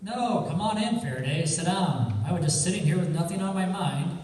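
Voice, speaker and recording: Wisecracking amateur sleuth, played by a man, slightly high voice, breezy and sardonic, radio play in a very echoey hall. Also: lively intonation, fairly quick, darkish tone. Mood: smug, breezy. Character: cocky wisecracking male amateur detective. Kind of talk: old-time radio detective drama acting. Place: college stage radio theatre performance. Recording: echoey hall from far off, faint noise.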